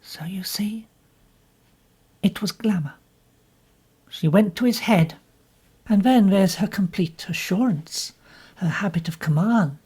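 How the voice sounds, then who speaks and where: A woman playing a bitter young woman, intense and husky. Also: mid-range voice, takes it slowly, pauses often, dark tone, voice pushed up. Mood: impassioned. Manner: intense, pleading, emphatic.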